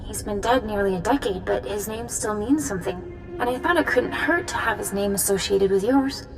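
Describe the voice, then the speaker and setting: Woman acting as loyal young cultist, mid-pitched, earnest, deferential, music underneath, game cutscene. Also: moderate pace, natural voice, low-quality recording. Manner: respectful, earnest.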